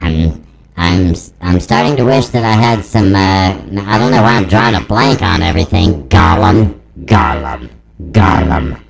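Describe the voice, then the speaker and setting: A man in his forties rambling through a deadpan, profane improvised comedy monologue, his voice run through a pitch-shifting voice transformer. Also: normal speed, gravelly, music in the background. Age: 40s. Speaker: comedic host demonstrating a voice-effect device.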